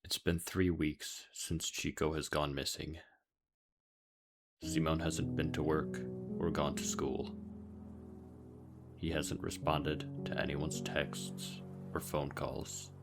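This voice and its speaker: A man narrates a scripted story recap in an extremely deep voice. His delivery is slow and full of gravelly fry. Loud plucked guitar music plays behind him. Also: flat delivery. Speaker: video essay narrator.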